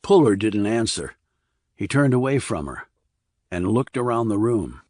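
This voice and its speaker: A man's voice: on the low side, big pitch swings, measured pace, darkish tone, no echo, studio quality. A man reading a crime thriller audiobook as scripted narration.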